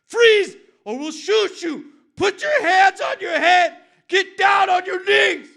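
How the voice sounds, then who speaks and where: Man acting a police officer, shouting, very high strained pitch, frantic barked commands, story character. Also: expressive, slow pace, gravelly, full character voice. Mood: frantic commanding. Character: shouting male cops barking stock police commands.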